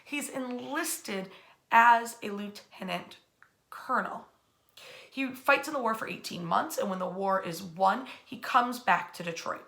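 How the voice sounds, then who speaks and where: An American woman narrating history as a dramatic story, with emphatic, theatrical phrasing and deliberate pauses. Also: mid-range voice, creaky, pushed and bright.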